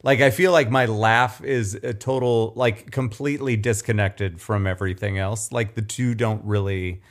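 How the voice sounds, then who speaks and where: A man's voice: on the low side, fairly even intonation, normal speed. Nasal, throaty man in casual chat. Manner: self-deprecating, rambling with restarts.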